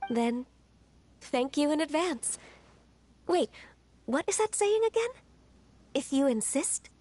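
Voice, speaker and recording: Modest young female game character, high voice, gentle, courteous, slightly hesitant. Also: very animated, slow pace, a little hiss or hum, heavily acted. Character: gentle, polite, modest young woman, disciple of an immortal. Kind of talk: video game voice acting.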